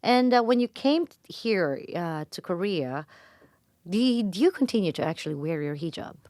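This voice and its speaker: A woman's voice: mid-range voice, expressive, moderate pace, dry recording. A woman hosting a radio interview in a studio, prompting her guest with frequent "mm-hmm"s. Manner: lots of "mm-hmm" backchannels, warm encouraging interjections. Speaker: radio host.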